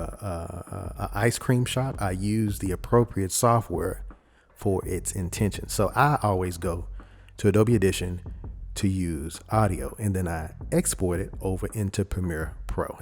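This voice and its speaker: A man with a low voice talks through a software tutorial in an informal, explanatory way, thinking aloud. There is faint noise and some clicking behind him. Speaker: audio editing tutorial presenter. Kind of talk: casual software screen tutorial.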